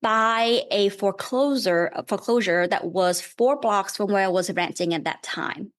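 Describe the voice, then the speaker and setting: Brief: woman in her 30s, Vietnamese accent, mid-pitched, patient and friendly, unpacks ideas step by step. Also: normal speed. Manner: friendly, thoughtful, explains things step by step. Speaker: guest real estate investor. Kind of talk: casual podcast interview.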